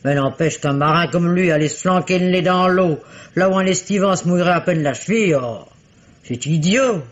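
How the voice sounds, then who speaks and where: A woman's voice: lowish voice, moderate pace, lightly acted. An actress playing a talkative, gossiping village innkeeper woman in a 1950s radio drama. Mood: chatty. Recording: dull old radio recording.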